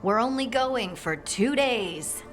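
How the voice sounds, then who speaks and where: A woman's voice: a little high, expressive, slow pace, faint music behind. An actress playing a practical, outspoken young outdoorswoman in a video game, plain-spoken and friendly with teasing exasperation. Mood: exasperated, teasing. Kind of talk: video game cutscene acting.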